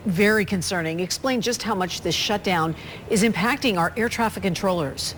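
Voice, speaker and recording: A woman's voice: medium pitch, normal speed, background noise. A woman anchoring a live TV news broadcast, handing questions to a reporter.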